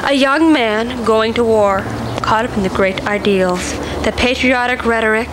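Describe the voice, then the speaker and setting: An actress playing an encouraging, muse-like voice that prods a writer, lyrical and persuasive, in radio-play style. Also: female voice, on the high side, moderate pace, noisy background, natural voice. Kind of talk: radio-play dramatic dialogue.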